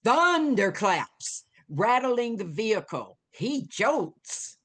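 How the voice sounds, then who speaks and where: A woman's voice: on the high side, very animated, very slow, slightly rough. A woman reading scene headings and action from a screenplay as dramatic scripted narration. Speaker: stage directions reader.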